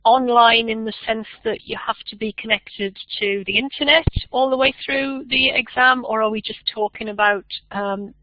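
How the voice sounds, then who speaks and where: A woman's voice: a little high, normal speed, few pauses, dry recording. A woman chairing a webinar, politely relaying questions and handing over to speakers, on dull lo-fi audio. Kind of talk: moderating a webinar.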